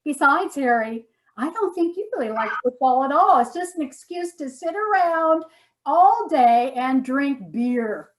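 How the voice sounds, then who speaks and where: Woman acting high-pitched, nagging, indignant wife. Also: animated, a little slow, poor audio. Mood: exasperated, scornful. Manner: dramatic, exasperated, repeats herself. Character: nagging, indignant, self-righteous wife in couples therapy. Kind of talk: readers theater comedy sketch.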